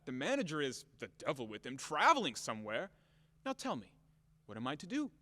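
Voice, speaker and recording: A man's voice: a little high, a bit bright. Blustering, wildly swinging male landowner played by an actor, echoey. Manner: theatrical, exasperated ranting and grumbling. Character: blustering, furious, self-pitying male landowner demanding his money. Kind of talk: stage comedy acting in an audio play. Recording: echoey hall-like reverb.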